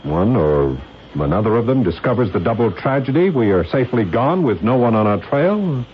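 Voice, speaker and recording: An actor playing a cold, determined scientist husband who methodically plots murder in a radio drama. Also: male voice, mid-range voice, very animated, normal speed, lightly acted. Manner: methodical, matter-of-fact. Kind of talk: 1970s radio drama acting. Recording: dull, hissy old radio recording.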